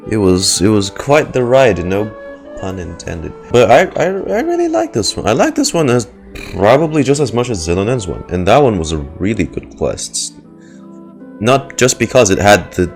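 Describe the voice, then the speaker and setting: A man doing casual, rambling game reaction commentary as a streamer, with joking asides. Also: on the low side. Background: faint music.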